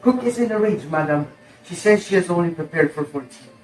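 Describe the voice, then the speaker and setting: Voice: medium pitch, expressive, a little fast, a little mellow, natural voice. A teenager playing a worried, deferential household servant in an amateur one-act play. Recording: echoey, distant mic, music behind.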